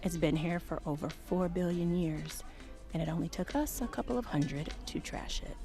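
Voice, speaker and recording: A woman playing a flirtatious, forward hotel guest in an audio drama, with a creaky voice and a teasing, intimate manner. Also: lowish voice, lively intonation, normal speed, loud music behind the voice. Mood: conversational.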